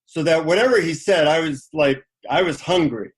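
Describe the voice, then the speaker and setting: A man's voice: fairly high pitch, moderate pace, breathy edge. A middle-aged man speaking as an interview guest, informally sharing anecdotes and advice in a conversational way.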